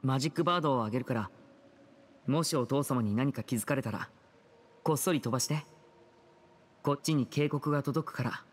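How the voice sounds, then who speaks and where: A man's voice: medium pitch, a little fast, long pauses, slight background noise, close to an everyday voice. Composed young magician, acted by a man, reverberant. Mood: earnest, careful. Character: composed, kind young male stage magician, protective leader. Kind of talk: Japanese anime game dialogue acting.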